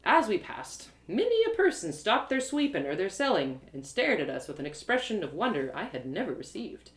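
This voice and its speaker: A woman performing an animated, expressive first-person fantasy story as a dramatic narrator. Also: medium pitch, normal speed. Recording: roomy home live reading.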